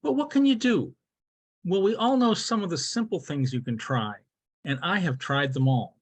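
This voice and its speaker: A man's voice: high-pitched, animated, normal speed. A man delivering a rehearsed humorous speech as a storyteller, theatrical and mock-serious, with emphatic delivery. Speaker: club speech contestant.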